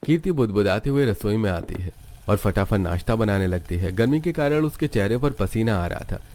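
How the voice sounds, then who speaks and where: Calm male storyteller. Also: lowish voice, a little fast. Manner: even, storytelling. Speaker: narrator. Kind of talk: scripted story narration.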